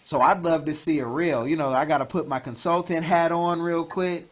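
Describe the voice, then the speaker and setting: A man hosting an interview, chatty and advising, with jokes and loud laughs. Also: fairly high pitch, fairly even intonation, moderate pace. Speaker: interview host. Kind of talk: casual interview chat.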